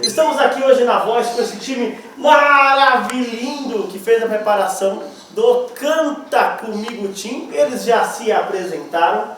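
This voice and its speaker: A Brazilian man hosting a casual, joking interview with a playful, teasing manner and quick banter. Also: very high voice, noisy background. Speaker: host interviewing vocal coaches. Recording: roomy echo with voices around.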